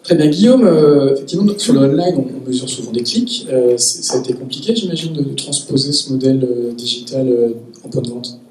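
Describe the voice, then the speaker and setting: A man's voice: mid-range voice, brisk, darkish tone. Moderator guy asking the panel questions, echoey, far from the mic, bit of hiss. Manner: questioning, prompting speakers. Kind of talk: hosting a round table. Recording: echoey room, far, faint noise.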